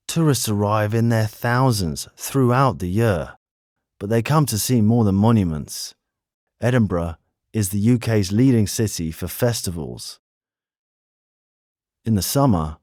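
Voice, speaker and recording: A man with a fairly low voice narrates a scripted city tour for language learners. His delivery is measured and clearly phrased, and the recording is dry and close. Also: darkish tone, dry recording. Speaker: narrator of an English lesson.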